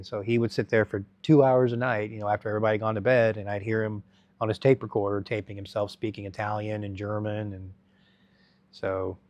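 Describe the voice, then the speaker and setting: A middle-aged man telling his life story as an interview guest, halting and rambling with many restarts. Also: on the low side, dry recording.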